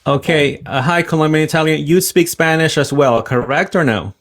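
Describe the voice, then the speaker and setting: A man hosting a livestream call-in, calm and measured as he explains and keeps order. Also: fairly high pitch. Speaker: host. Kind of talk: livestream talk moderating.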